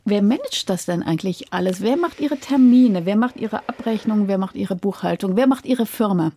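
A woman's voice: mid-range voice, expressive, normal speed, dark tone, slight background noise. A woman hosting a radio interview, asking questions in a conversational way.

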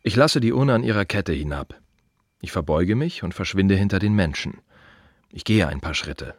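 A man playing a reflective, wry gravedigger in an intimate radio play monologue, speaking in musing fragments with a husky voice. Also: sits low, expressive, no room sound, clean studio sound. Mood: quiet, observant. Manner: musing, short fragmentary phrases with pauses. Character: reflective male gravedigger, wry, plain-spoken, quietly observant.

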